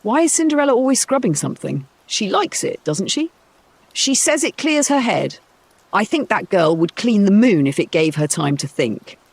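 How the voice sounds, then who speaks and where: Man putting on a high, petulant young noble daughter. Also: very animated, moderate pace, gravelly, slightly rough, no room sound, exaggerated voice. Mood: catty, puzzled. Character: spoiled, whining young noble stepsisters.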